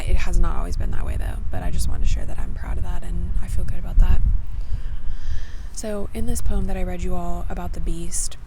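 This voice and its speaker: Creaky-voiced woman rambling candidly over outdoor noise. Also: lowish voice. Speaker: vlogger and podcaster. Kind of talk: casual reflective monologue. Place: outdoors in a cemetery. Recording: noisy outdoor ambience, traffic hum.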